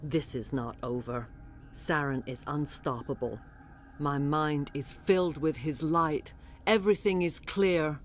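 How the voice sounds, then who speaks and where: A solemn alien matriarch in a sci-fi game, her voice low. She speaks in grave, formal, measured phrases, a servant struggling against control of her mind. Music plays behind her. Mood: defiant, devoted. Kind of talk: dramatic video game voice acting.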